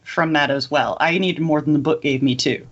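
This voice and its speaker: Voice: medium pitch, steady tone, moderate pace, a bit bright, slight background noise. A podcast co-host, talking casually in a fan discussion. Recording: dull, lo-fi microphone sound.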